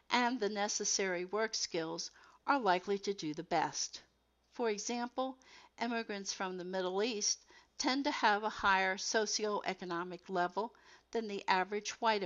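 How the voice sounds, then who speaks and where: A woman narrates educational material from a script at a middle pitch. She reads in a measured manner with careful enunciation, like a textbook narrator. The recording is a little dull and lo-fi. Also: fairly bright tone.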